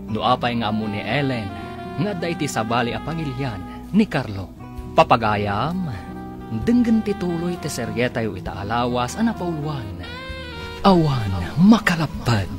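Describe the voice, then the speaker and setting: A man's voice: medium pitch, sing-song, a little slow, music in the background. A man with a Filipino accent giving dramatic scripted radio narration as announcer.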